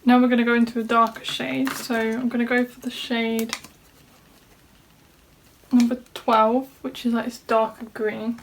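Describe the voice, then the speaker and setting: A woman's voice: fairly high pitch, level tone, normal speed, slight background noise, rough recording. A woman vlogger walking through a makeup tutorial in a casual chat, sounding slightly nasal from a cold. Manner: chatty, talks through each step. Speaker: beauty vlogger. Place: home room at a desk.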